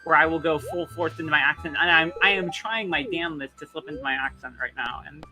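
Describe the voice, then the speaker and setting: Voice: mid-range voice, quick pace, pushed and bright. A co-streamer talking candidly during a casual gaming chat, hesitant and full of ums. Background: game music.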